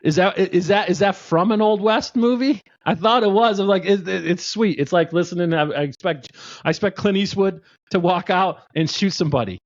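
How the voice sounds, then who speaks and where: Casting: upbeat male podcast host, a bit high, dry and muffled sound. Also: fairly quick, no echo. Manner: enthusiastic, joking, many restarts. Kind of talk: casual interview chat.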